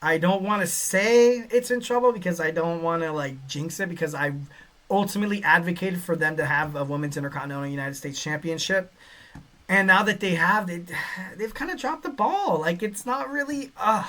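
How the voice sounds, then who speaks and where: Man, young, podcast host, rambling, filler-heavy, slightly high voice. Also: moderate pace. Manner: rambling, many fillers, excitable bursts. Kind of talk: casual solo podcast talk reading listener chat.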